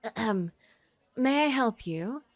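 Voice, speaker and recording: A woman's voice: a little high, lively intonation, takes it slowly, dry recording. A woman voicing a timid young princess from a visual novel, courteous and carefully formal. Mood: polite, eager. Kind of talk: visual novel character read aloud.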